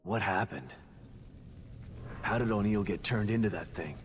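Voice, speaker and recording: An actor playing a weary, guilt-ridden male hero in a video game, gruff, terse and brooding. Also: on the low side, unhurried, slight background noise, natural voice. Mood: grim questioning. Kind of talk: dramatic video game acting. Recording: music behind the voice.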